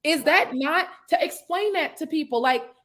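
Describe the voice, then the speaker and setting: A woman's voice: high voice, normal speed, fairly bright tone. Preachy motivational woman in her thirties. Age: 30s. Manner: preachy, encouraging, affirming. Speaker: network marketing mentor. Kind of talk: motivational chat.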